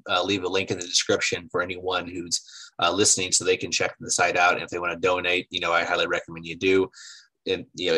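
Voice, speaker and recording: Deep bright-toned male podcast host. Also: rough recording. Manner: friendly, affirming, many "you know"s. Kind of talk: casual interview chat.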